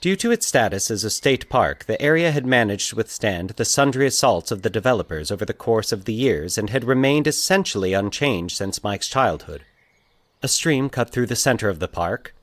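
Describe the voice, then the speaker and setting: Guy reading a story podcast, lowish voice, really dry close sound, measured delivery. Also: no echo. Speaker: narrator of a fiction podcast. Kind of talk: scripted story narration.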